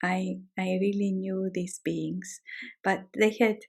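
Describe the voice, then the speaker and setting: A middle-aged woman from Greece speaks with a Greek accent in a calm, measured way. She talks as a teacher sharing her own experience, often repeating words to stress them. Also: medium pitch, creaky, slightly rough. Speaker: shamanic teacher. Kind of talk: reflective personal talk.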